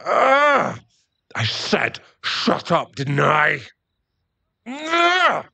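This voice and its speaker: A man's voice: sits high, wildly swooping pitch, extremely slow, gravelly, bright, forceful tone, full character voice. A man playing an irritable, defensive, hard-drinking werewolf boyfriend and expectant father in an ASMR roleplay audio drama, given to sighs and snappish remarks. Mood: irritated, snapping.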